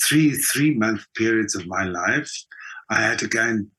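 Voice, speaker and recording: A man's voice: lowish voice, animated, unhurried, pushed and bright. A South African man telling personal stories conversationally as an interview guest, speaking emphatically and repeating words for emphasis. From South Africa, Johannesburg. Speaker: interview guest, actor and theatre designer. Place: video call from home country, indoors. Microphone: video call.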